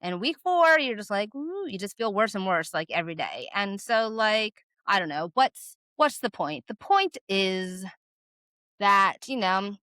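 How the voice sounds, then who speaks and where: Young woman, vlogger, chatty, rambling, bright crisp voice, dry close sound. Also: unhurried, no room sound. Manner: chatty, rambling, lots of "like" fillers; animated, jumps up in pitch for emphasis. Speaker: vlogger host. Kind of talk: casual talk to camera.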